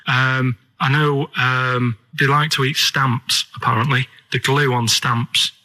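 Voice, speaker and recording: A Northern English man with a bright, forward voice at an ordinary pitch. He chats on the radio in a rambling, earnest way, pressing naive points persistently. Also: little pitch movement, slow pace, poor audio. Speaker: radio show regular making a phone call. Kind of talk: rambling comic radio chat.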